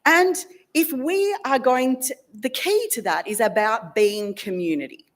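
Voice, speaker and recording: A woman's voice: a little high, unhurried. A woman minister interviewing a church member in a casual, chatty way, laughing easily. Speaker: minister leading worship.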